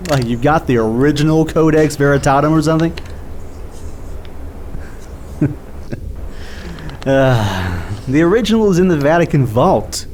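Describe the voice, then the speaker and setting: A man playing an excitable, argumentative skeptic in an audio drama, stammering and exasperated in an informal way. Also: on the high side, unhurried, long pauses, a little hiss or hum. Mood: skeptical, incredulous.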